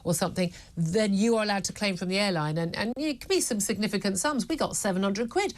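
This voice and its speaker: A British woman with a medium-pitched voice hosts a live news interview. She is conversational and opinionated, stressing her points emphatically as she puts questions to a guest. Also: quick pace.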